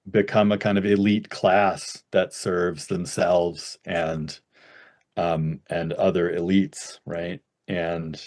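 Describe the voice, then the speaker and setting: A man on a webinar call answering an audience question in an academic way, with an American accent, often ending points with "right?" and many fillers. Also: low-pitched. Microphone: webinar video call.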